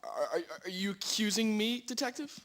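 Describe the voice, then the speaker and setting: A man's voice: sits high, moderate pace, a bit bright. A man playing a nervous, easily offended fat glutton in a radio drama, with stammering, defensive delivery. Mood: indignant. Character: nervous, indignant fat man, gluttonous murder-mystery suspect. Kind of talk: amateur school radio drama acting.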